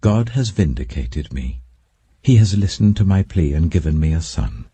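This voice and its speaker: A man's voice: low voice, very animated, normal speed, warm tone, slightly rough, no room sound, full character voice. A man voicing a jealous, demanding young wife in a dramatic scripture audiobook, intense and insistent. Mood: triumphant.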